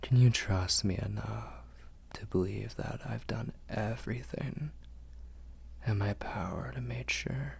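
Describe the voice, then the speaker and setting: A protective vampire lord, played by a man, murmurs in a very husky, breathy whisper with a gravelly crackle. He takes his time over every phrase, tender and reassuring, in an intimate ASMR roleplay. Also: lowish voice, fairly even intonation, heavily acted. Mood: tender reassurance. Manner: slow, hushed, reassuring.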